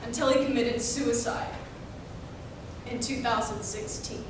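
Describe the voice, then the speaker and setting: A young woman delivering a dramatic readers theater monologue, deliberate and emphatic, in broken phrases. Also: fairly high pitch, steady tone. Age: young adult. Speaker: college speech competitor. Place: indoor performance room, recorded from afar. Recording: very echoey room, distant mic, noisy.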